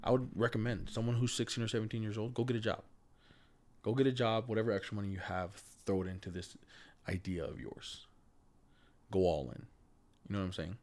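A man's voice: on the low side, steady tone, a little fast, pauses often, darkish tone. A man who stutters, a podcast guest talking earnestly and with emphasis in a casual conversation.

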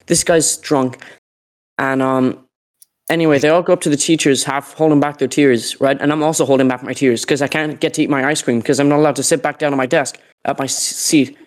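A man's voice: medium pitch, steady tone, brisk. A young man with a cold, telling a story in a casual podcast chat, rambling with many fillers and restarts. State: sick, sweating. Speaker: podcast co-host.

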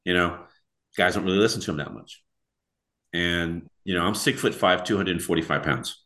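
Deep-voiced earnest male guest. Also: moderate pace, sharp tone. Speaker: podcast guest. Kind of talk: casual storytelling.

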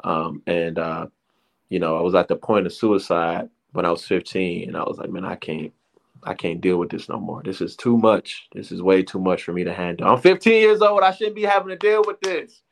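A man in his thirties from the Detroit area speaks in a very deep voice. He tells his own story as a guest, rambling and restarting, with plenty of slang. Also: normal speed, vocal fry. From Detroit area, USA. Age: 30s. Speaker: interview guest. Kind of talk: casual personal testimony.

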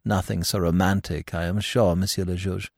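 A man's voice: low-pitched, flat delivery, moderate pace, vocal fry, darkish tone, dry recording, clean studio sound. A man reading an audiobook, voicing a grieving, dignified middle-aged widow who speaks in a reserved, composed way. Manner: reserved, composed, breaking into sobs.

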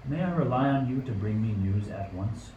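A commanding, scheming old king of radio drama, acted by a man. He speaks in a mellow, deliberate, regal way. The sound is roomy and a little distant, with faint noise behind it. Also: on the low side, lo-fi sound, close to an everyday voice. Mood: courtly, solemn. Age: older. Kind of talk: amateur radio play acting. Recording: roomy, distant mic with background hiss.

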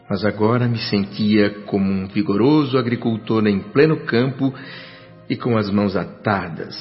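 An actor playing a humble, earnest doctor in the spirit world, speaking formally and courteously in a radio drama. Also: male voice, lowish voice, close to an everyday voice. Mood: wistful, reflective. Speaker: lead actor and first-person narrator. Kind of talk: radio drama acting and reflective narration. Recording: dull lo-fi radio drama with music. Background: music.

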